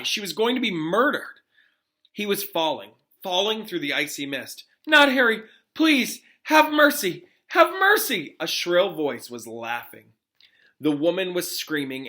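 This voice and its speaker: Male teacher for dramatic read-aloud: bright, forceful, slightly high voice, suspenseful delivery. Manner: expressive, suspenseful, pauses to question listeners. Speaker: teacher reading aloud to students.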